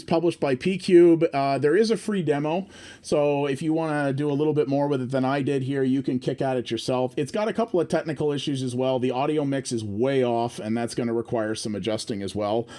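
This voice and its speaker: Man, livestream host, mid-pitched voice, casual game commentary, unscripted, self-correcting, qualifying his opinions. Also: darkish tone.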